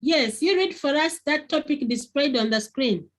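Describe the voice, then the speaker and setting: A woman's voice: high-pitched, lively intonation, moderate pace. A woman teacher giving an online class lesson over a video call, repeating key phrases and questioning her pupils often. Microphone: computer on a video call.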